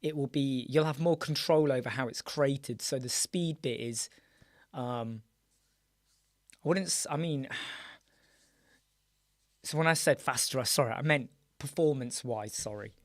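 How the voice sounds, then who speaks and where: Croaky British man, hosting live. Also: mid-range voice, measured pace, pauses often, dry recording, studio quality. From United Kingdom. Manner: chatty, greets viewers by name, trails off and restarts. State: hoarse, croaky, clearing his throat. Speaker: live chat show host. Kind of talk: casual live-stream chat with viewers. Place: small dark room with soundproof panels.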